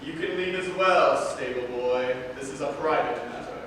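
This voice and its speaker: A man's voice: mid-range voice, moderate pace, lightly acted. A man playing a pompous, protective king and father in a staged play reading, speaking formally and dramatically. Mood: commanding. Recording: echoey hall, distant mic, background noise.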